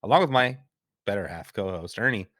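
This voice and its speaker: Male podcast host, lowish voice, a bit stuffy from a cold, easygoing banter, dry close mic. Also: animated, fairly bright tone, no echo. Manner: laid-back and chatty, quick to laugh. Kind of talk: casual chat.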